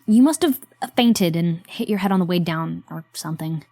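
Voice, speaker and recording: A woman voicing an exasperated young woman in an audio drama who scolds her friend with a sarcastic, protective edge. Her voice sits mid-range with a mellow tone, and it is recorded dry and close. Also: fairly low pitch, expressive, moderate pace, no echo.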